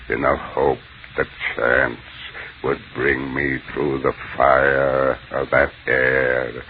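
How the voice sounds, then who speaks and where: An actor playing an ancient, contemptuous, menacing alien mind in old-time radio horror, speaking in slow, pompous pronouncements. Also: male voice, extremely low pitch, full character voice. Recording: muffled, narrow-band old radio broadcast with hiss.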